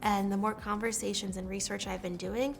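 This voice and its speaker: A woman's voice: mid-range voice, little pitch movement, brisk, vocal fry, a little hiss or hum. A woman giving a reflective testimonial in an interview as a scholarship recipient. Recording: music laid under the voice.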